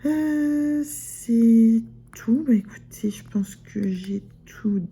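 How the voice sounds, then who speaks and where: A woman's voice: on the high side, very slow, dark tone, husky. A woman with a northern French accent chatting casually in a vlog, rambling with many fillers and restarts. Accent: Picard (Ch'ti), northern France. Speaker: sewing vlogger. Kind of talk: casual chat to camera.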